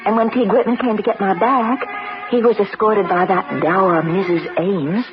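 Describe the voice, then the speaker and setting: A woman's voice: medium pitch, steady tone, normal speed, close to an everyday voice. An actress playing a troubled, inquisitive young woman in an old-time radio drama, earnest and dramatic in her delivery. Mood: uneasy, reflective. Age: young adult. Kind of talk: old-time radio drama acting, dialogue and first-person narration. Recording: dull old radio recording with loud music.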